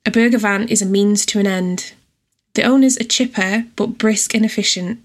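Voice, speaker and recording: A woman reads a scripted personal essay in a measured, descriptive storytelling manner. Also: mid-range voice. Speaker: writer and editor reading own essay.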